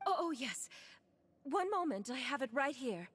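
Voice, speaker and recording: A kindly townswoman in a video game, with a slightly high voice. She sounds polite, grateful and earnest. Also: big pitch swings, moderate pace, fairly bright tone, breathy edge, full character voice.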